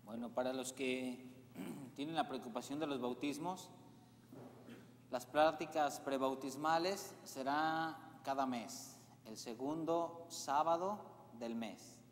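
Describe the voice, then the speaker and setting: A man's voice: mid-range voice, slow, a little hiss or hum. A man in his thirties, a priest making chatty announcements to his congregation and checking that they follow. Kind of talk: parish announcements at Mass. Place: large church. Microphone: far camera, church sound system. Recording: echoey church with long reverb.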